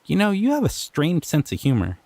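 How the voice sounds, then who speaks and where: A man voicing a shy, gentle male slime creature in an audio roleplay, soft-spoken and hesitant, often trailing off. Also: a little high, huge pitch swings, fairly quick, gravelly, no echo, exaggerated voice. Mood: gentle, curious.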